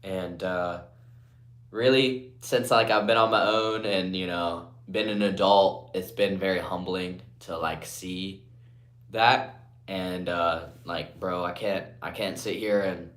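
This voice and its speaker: A young man from Guatemala on a casual live stream, reading comments aloud and answering in a laid-back way, often trailing off quietly, through a phone propped on a ledge. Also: sits low, fairly even intonation, takes it slowly. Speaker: livestreamer.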